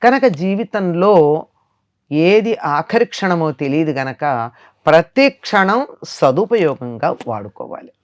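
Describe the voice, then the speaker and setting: A woman's voice: low voice, lively intonation, takes it slowly. An older woman giving a moral discourse in conversation as a spiritual teacher, emphatic and repeating phrases to drive points home.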